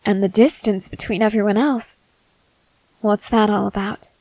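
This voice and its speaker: A woman playing a guarded young female soldier, blunt but softening, in halting roleplay ASMR. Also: mid-range voice, steady tone, no room sound, lightly acted, rough recording. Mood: stern, suspicious. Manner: halting, hesitant, earnest delivery.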